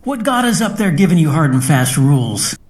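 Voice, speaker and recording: A man's voice: fairly high pitch, very animated, fairly quick. A man acting as a grave, pragmatic scientist admonishing a colleague in an audio drama. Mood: stern, warning. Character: cautious, pragmatic male scientist colleague, stern.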